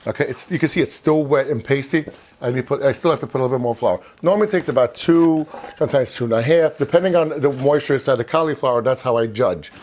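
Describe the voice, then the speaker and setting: A man's voice: medium pitch, quick pace, darkish tone. A man in his fifties hosting an informal cooking demonstration in a home kitchen, chatty and often repeating and rephrasing himself, on a lapel mic. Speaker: cooking show host.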